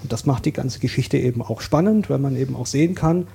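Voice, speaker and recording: A man from Saxony, a guest on an interview podcast, explaining his views in a thoughtful, measured way. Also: medium pitch, vocal fry, warm tone, husky. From Saxony, Germany.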